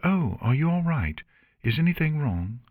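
Man voicing a gracious, high-pitched pony mare. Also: sing-song, moderate pace, no echo, phone-quality sound. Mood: polite. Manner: polite, hospitable. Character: polite, gracious, forthright young pony mare.

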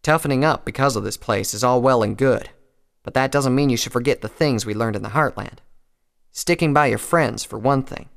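A man voicing a steadfast, blunt female pony who speaks in a firm, measured, reproachful way in a fantasy story. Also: vocal fry, fairly bright tone. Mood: hurt, reproachful. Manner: low-toned, firm, measured.

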